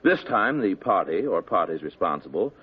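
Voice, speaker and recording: An actor playing a fussy, pompous tailor who talks down to the detective in a haughty, condescending way, in a 1950s radio drama. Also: male voice, low-pitched, big pitch swings, normal speed, husky, full character voice. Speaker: custom tailor and client. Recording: dull old radio broadcast.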